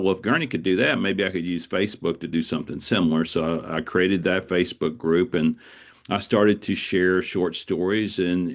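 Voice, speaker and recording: Male author guest, low-pitched voice, reflective and anecdotal, with a halting conversational flow. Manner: reflective, anecdotal, often repeats words as he goes. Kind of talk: conversational interview answers.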